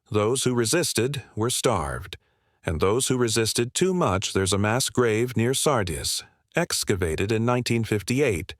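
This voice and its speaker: A man narrating a scripted history documentary in a grave, measured way, delivering short dramatic phrases. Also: lowish voice, no room sound, clean studio sound.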